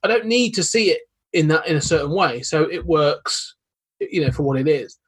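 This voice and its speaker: A man's voice: a little high, lively intonation, normal speed, husky. A man, a podcast guest, talking in hesitant casual chat with many restarts. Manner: hesitant, lots of fillers and restarts.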